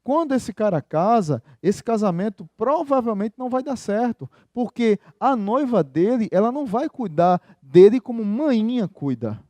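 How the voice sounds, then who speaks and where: A pastor with a high yet mellow voice delivers a sermon, talking with the congregation in an easy, conversational way. He keeps asking them rhetorical questions, and his voice sounds dry and close to the microphone. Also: big pitch swings, moderate pace, few pauses, no echo.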